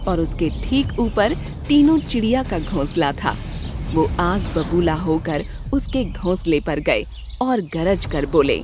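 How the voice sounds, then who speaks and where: A woman storyteller giving an expressive, scripted narration of a children's cartoon tale. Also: on the low side, slow, background noise.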